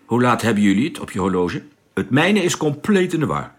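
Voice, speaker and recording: An actor playing a brisk, commanding male spaceship captain in a radio play. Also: medium pitch, very animated, breathy edge. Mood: calm, businesslike. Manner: brisk, questioning, giving orders.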